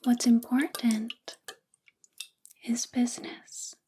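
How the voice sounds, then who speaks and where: A woman plays a possessive, quietly controlling female boss in an ASMR roleplay. Her whisper is breathy and soft, fairly high yet mellow, and she coaxes very slowly and intimately, close to the mic. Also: flat delivery. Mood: coaxing, favoring. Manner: slow, intimate, coaxing. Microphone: close ASMR microphone.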